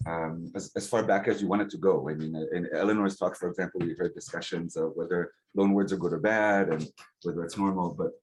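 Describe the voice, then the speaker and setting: A man giving an academic lecture to a seminar, relaxed and conversational, with frequent um and uh and rhetorical "right?" checks. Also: low-pitched, brisk, poor audio. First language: English. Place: lecture hall. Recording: faint background noise, clicks.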